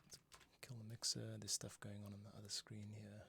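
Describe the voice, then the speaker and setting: A man composing on a livestream, muttering casual, halting commentary to himself as he works. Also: fairly low pitch, monotone, creaky, pushed and bright, husky. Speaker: music composer livestreaming his work. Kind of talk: casual thinking-aloud work commentary. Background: instrumental music playback.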